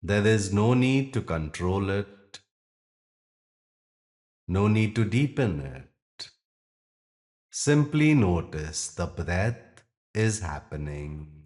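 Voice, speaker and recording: A man teaching yoga nidra, guiding a meditation gently in short phrases with long pauses. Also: on the low side, animated. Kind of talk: guided meditation.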